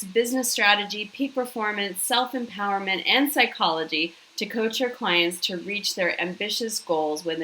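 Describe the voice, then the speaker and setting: A woman's voice: mid-range voice, bright, forceful tone, slight background noise, low-quality recording. A woman hosting an interview, introducing her guest and asking questions in an enthusiastic, affirming way. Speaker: host.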